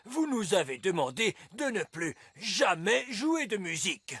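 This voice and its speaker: A man voicing a stern, dutiful, wise old elf in an animated cartoon, speaking formally and deliberately. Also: high-pitched, slightly rough, no room sound, full character voice. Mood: dutiful, honoured.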